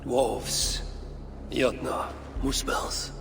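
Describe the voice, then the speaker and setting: A slow, gravelly Norse god. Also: male voice, mid-range voice, little pitch movement, noisy background. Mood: defiant, scornful. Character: grave, cunning male Norse god investigating in secret. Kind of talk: video game character acting.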